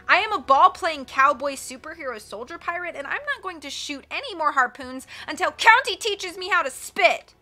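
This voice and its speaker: A woman's voice: high-pitched, animated, moderate pace, hardly any pauses, sharp tone, pitched above the performer's own voice. A woman voicing a spoiled, bratty little girl who screams her demands. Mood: shrill bratty. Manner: shrieking, nasty, whiny. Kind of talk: audiobook character voice.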